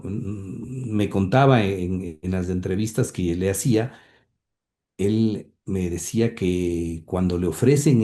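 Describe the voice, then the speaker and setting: A man's voice: on the low side, mellow. A middle-aged man from Ecuador, recounting his family's history in an interview, hesitant with many fillers and restarts. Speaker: interview guest, grandson of the subject.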